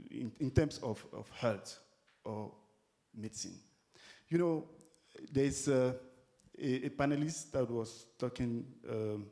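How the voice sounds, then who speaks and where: A man with a Cameroonian accent speaking off the cuff at a conference discussion, haltingly, repeating words as he searches for phrasing. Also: medium pitch, a little mellow. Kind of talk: impromptu speech at a conference discussion.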